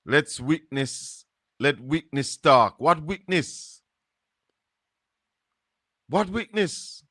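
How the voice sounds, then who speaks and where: A Jamaican man with a Jamaican accent hosts a live stream in an unhurried, musing way, dropping into patois. His voice is bright and forward, and the sound is close and dry with no room around it. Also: fairly high pitch, lively intonation, no room sound. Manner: slow, musing, drops into patois. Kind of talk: casual live-stream commentary.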